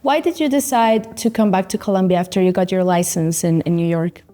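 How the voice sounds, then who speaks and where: A woman asking questions in an on-location interview inside an apartment, quick and enthusiastic. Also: on the low side, warm tone, faint music behind. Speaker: research associate, interviewer. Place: indoors in an apartment.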